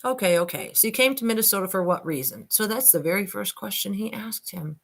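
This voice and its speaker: A woman's voice: medium pitch, expressive, brisk, creaky. A woman reading a transcript aloud and commenting informally, addressing listeners directly with skeptical asides. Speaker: online commentator and host.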